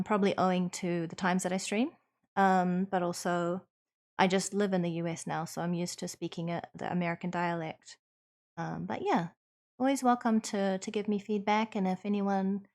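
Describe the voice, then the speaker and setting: NZ woman streamer, casual chat, sincere and reassuring, thinks aloud, mid pitch. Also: darkish tone. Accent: New Zealand. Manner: earnest, reassuring, thoughtful with frequent ums. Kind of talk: casual chat answering viewers.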